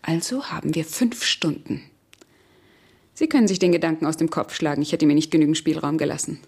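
An actress playing a cold, scheming murderess, controlled and imperious, in a 1970s German radio crime drama. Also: female voice, low voice, a little fast, vocal fry, a little mellow, slightly rough, exaggerated voice. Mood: cold, calculating. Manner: controlled, imperious, coolly persuasive.

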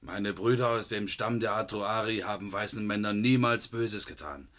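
An actor playing a proud, solemn jungle guide who speaks in halting grammar in a German radio play. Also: male voice, lowish voice, fairly bright tone, close to an everyday voice. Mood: accusing. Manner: solemn, halting foreigner's grammar, third person.

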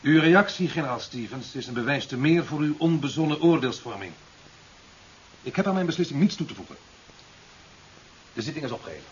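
An actor playing a stern, authoritative official in a 1970s radio drama, speaking in formal, measured, reproving phrases. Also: male voice, fairly high pitch, animated, natural voice. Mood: firm. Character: stern, authoritative male official presiding over a hearing. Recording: dull, hissy old radio recording.

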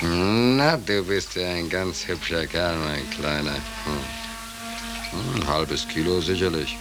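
An actor playing a hungry, soft-hearted drifter sailor in a 1940s radio drama, with a wry, colloquial drawl. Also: male voice, deep voice, slow. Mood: musing, tender. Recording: noisy 1940s radio recording.

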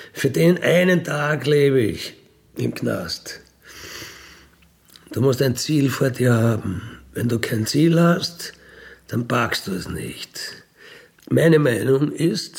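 A middle-aged man with an Austrian accent talks at a slow, deliberate pace. His voice is gravelly with plenty of fry. He sounds matter-of-fact and conversational, often turning to the listener with little tag questions. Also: medium pitch, darkish tone. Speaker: ex-convict burglar telling his life. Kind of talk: candid conversational monologue.

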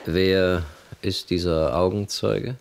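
A man's voice: sits low, fairly even intonation, slow pace, vocal fry, heavily acted. A man playing a cautious, dismissive police chief in a German radio crime drama, brusque and authoritative.

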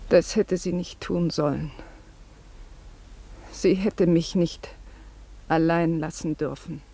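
An actress in a radio play voicing an anxious, deferential female companion, breathy and hesitant. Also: on the low side, fairly even intonation, slow pace, warm tone, full character voice. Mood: forlorn, hushed. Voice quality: breathy, often near whispering. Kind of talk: German radio play acting.

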